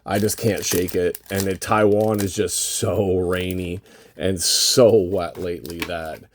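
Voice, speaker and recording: Hoarse, creaky-voiced man rambling casually. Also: deep voice, fairly even intonation, measured pace, slight background noise. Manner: rambling, thinking aloud. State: losing his voice from a lingering cold. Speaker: host of a trading card box-break channel. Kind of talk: casual unscripted card-opening commentary.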